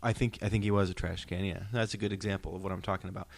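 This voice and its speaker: A man's voice: sits low, steady tone, racing, creaky, no room sound. A middle-aged man, hosting a casual podcast discussion. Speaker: podcast host.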